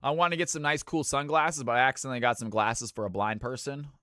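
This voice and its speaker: Bright, crisp-voiced male streamer. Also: mid-range voice, quick pace. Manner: hype announcer bursts between easygoing chat. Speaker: streamer hosting viewer games. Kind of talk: casual live-stream chat.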